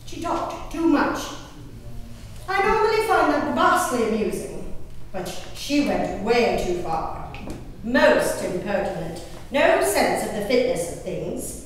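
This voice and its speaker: Theatrical, high-voiced genteel lady played by an actress, echoing hall. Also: big pitch swings. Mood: indignant, disdainful. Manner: prim, emphatic, savoring pauses, old-fashioned formal diction. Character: genteel, spirited, haughty English colonial gentlewoman diarist. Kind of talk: theatrical dramatic diary reading on stage. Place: indoor hall, live performance recorded from a distance. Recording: roomy echoing hall, distant mic, faint noise.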